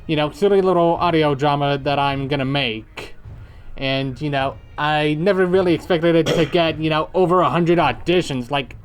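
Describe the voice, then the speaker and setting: A young adult man, the creator of an audio drama, talking casually and relaxed, rambling and saying "you know" a lot. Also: a little high, moderate pace, slight background noise. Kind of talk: casual commentary chat.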